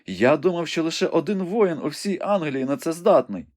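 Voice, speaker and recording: A man's voice: fairly high pitch, big pitch swings, moderate pace, darkish tone, pitched above the performer's own voice. A man playing a wounded young knight, impatient and commanding. His questions come urgent and emphatic, voice lifted a little above a man's middle range. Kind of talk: audiobook reading.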